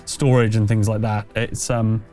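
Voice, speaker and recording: A man's voice: on the low side, flat delivery, measured pace, warm tone, soft background music. A man running a tabletop roleplaying game, narrating off the cuff and chatting casually, with hesitant, trailing phrasing and self-corrections. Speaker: game master.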